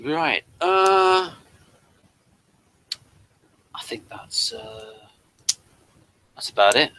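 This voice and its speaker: A man's voice: on the high side, big pitch swings, extremely slow, creaky, pushed and bright. A British man co-hosting a casual review discussion, explaining things in a hesitant, rambling way. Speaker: podcast co-host.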